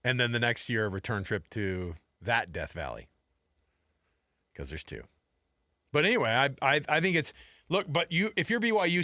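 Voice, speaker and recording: A man's voice: mid-range voice, sharp tone, no room sound, studio quality. An American man hosting a sports show in casual, colloquial back-and-forth talk.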